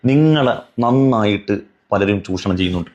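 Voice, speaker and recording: Man, low pitch, religious commentary, earnest, pleading, emphatic repetition. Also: animated, normal speed, a little mellow, highs cut off. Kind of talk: admonishing religious commentary to camera.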